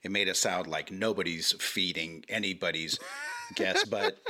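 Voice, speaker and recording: A middle-aged man with a low voice and a bright, forceful edge. He speaks as a podcast guest, stumbling through restarts and fillers. He keeps his explanation careful and diplomatic. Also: normal speed, slight background noise. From Hawaii. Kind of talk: casual podcast chat.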